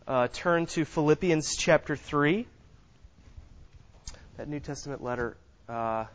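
A man preaching a sermon as a visiting minister in a church, speaking softly with many fillers and pauses, with no microphone. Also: fairly high pitch, lo-fi sound. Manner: soft-spoken, halting with frequent fillers. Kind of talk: sermon with scripture reading. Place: church sanctuary. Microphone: no microphone, distant.

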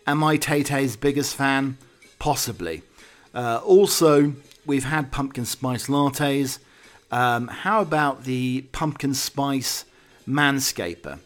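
A man's voice: medium pitch, lively intonation, takes it slowly. A man hosting a comic talk on the week's news, wry and digressive, with hesitant asides. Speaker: podcast host.

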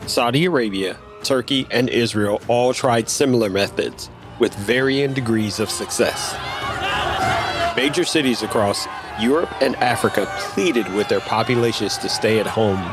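A man's voice: mid-range voice, moderate pace, over music. A man reading scripted dramatic fiction as a story narrator.

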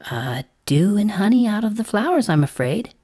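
Storybook caterpillar , played by a woman: mild, fretful little female creature, raised lilting pitch, earnest and exclamatory. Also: big pitch swings, normal speed, warm tone. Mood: indignant. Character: mild, anxious green caterpillar, female, kind but indignant. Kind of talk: storybook character voice.

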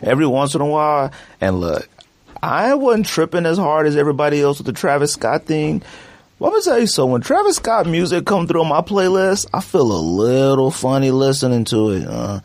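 A slangy, animated man hosting a casual podcast. His pitch leaps up and down as he talks. The sound is dry and close, with no room around it. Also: a little fast, no room sound. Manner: slangy, animated, trails off with "huh?". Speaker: podcast host. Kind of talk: casual sneaker podcast chat.

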